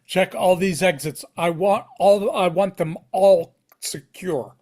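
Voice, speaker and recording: A slightly high-pitched male voice of a stern military cleric, acted by a man at a table read. He is commanding, every bit the officer. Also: steady tone, slow, a little mellow. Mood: barking orders. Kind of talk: amateur table read of a TV script.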